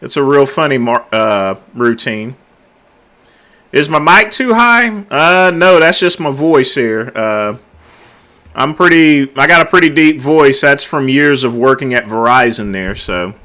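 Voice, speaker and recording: A middle-aged man hosting a casual late-night livestream, talking loudly and easygoing, chatting with his viewers. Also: medium pitch, lively intonation, measured pace. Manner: loud, laid-back, drawls filler words like "there". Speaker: livestream host.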